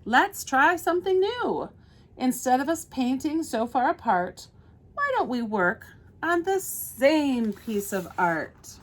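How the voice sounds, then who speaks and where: A woman's voice: high-pitched, sing-song, takes it slowly, voice pushed up, heavily acted. A woman voicing an encouraging, cheerful paint splatter from a picture book, with emphatic, earnest delivery. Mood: excited, inviting.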